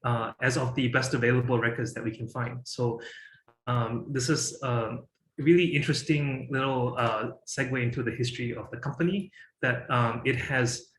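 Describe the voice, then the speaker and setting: A man's voice: medium pitch, steady tone, moderate pace, a bit bright, roomy sound, a little hiss or hum, poor audio. A man from Singapore in his thirties, giving a presentation and talking with many fillers and restarts. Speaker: guest speaker.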